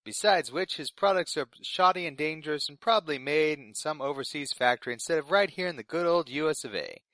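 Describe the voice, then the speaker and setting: Young male radio intern, played by a man: polite, eager, nervous, lighter pitch, fiction podcast newscast, dry sound. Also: expressive, fairly quick, pushed and bright, no room sound. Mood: chatty, uneasy. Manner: polite, earnest delivery of absurd news. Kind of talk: scripted fiction podcast in community radio broadcast manner.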